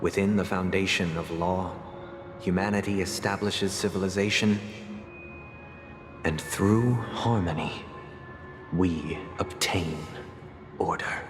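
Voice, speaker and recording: A man's voice: low voice, steady tone, slow, long pauses. A man acting a grave, regretful zealot of order in a video game, solemn and portentous, with heavy reverb on his voice. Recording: echoing long reverb, music underneath. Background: music.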